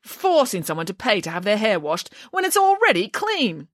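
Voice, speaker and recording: A woman's voice: mid-range voice, big pitch swings, moderate pace, no echo, studio quality, pitched above the performer's own voice. A woman playing a bossy, indignant female pig nanny in a children's story, speaking emphatically and theatrically. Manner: emphatic, outspoken, exclamatory.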